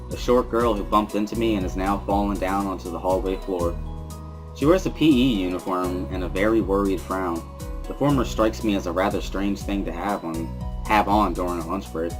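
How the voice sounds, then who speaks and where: A man with a low-pitched voice reads a visual novel in the first person. He narrates it as a dramatic reading, with music playing behind him.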